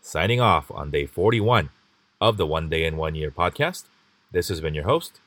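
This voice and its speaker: A man hosting a podcast, reading scripted intros and asking interview questions in a polite, measured way. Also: a bass voice, expressive, fairly bright tone, no room sound. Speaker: podcast host.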